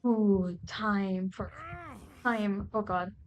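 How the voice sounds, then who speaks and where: A woman's voice: mid-range voice, steady tone, very slow, muffled recording. A woman giving casual, informal, exclamatory commentary while she plays a video game. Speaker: gaming video maker. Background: game music.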